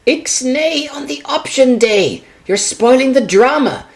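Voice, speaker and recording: A man voicing a whiny, melodramatic, easily frightened character in an amateur sci-fi parody, in a high screechy falsetto with drawn-out words. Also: expressive, creaky, full character voice. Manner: drawn-out, overwrought wailing.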